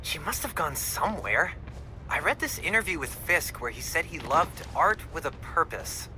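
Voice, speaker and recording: An actor playing a chatty, goofy teenage sidekick in a video game, rambling playfully through odd tangents. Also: male voice, on the high side, big pitch swings, moderate pace, bright, forceful tone, slight background noise, full character voice. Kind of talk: video game cutscene acting.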